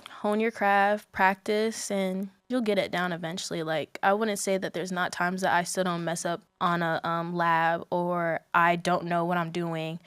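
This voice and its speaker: A woman's voice: lowish voice, flat delivery, measured pace, few pauses, fairly bright tone, clean tone, dry recording, clean studio sound. A young adult woman speaking as a student guest in a casual podcast interview, informal and candid in the way she talks.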